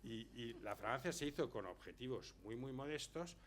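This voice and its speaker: An older man, the author of a book, answering audience questions in a relaxed, anecdotal way, often tagging his sentences with a quick question. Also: medium pitch, slight background noise. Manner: chatty anecdotal storyteller, lots of tag questions. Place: lecture hall before an audience.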